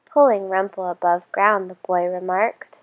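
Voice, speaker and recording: A woman reading a children's story aloud in an expressive storytelling style. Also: mid-range voice, measured pace, narrow, tinny audio.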